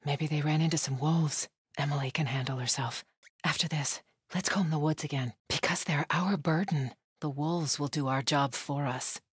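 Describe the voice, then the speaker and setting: Stern zealot soldier, video game, very husky, dry close sound, terse, devout. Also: female voice, low voice, fairly even intonation, moderate pace, no echo. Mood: solemn, watchful. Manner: solemn, guarded, terse. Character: stern, devout female cult soldier on patrol. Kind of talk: video game enemy dialogue.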